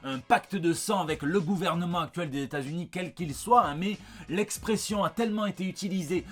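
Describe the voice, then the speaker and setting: A man's voice: high-pitched, a little fast. A man with a French accent hosting a news review show in a wry, chatty way, mixing scripted reporting with joking asides. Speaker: host of a comic book news show.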